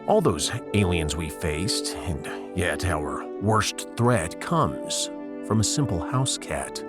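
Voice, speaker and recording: A man's voice: low-pitched, sing-song, slow, loud music behind the voice, voice pushed down, exaggerated voice. A man playing a wry, authoritative and exasperated starship captain in a sci-fi audio drama. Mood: wry, ominous.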